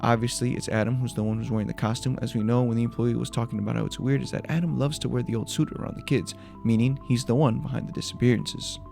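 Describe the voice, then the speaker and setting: A man's voice: medium pitch, steady tone, fast talker, creaky, few pauses, a little mellow, faint music behind. A man giving a scripted breakdown of a horror video as its creator, in voice-over narration.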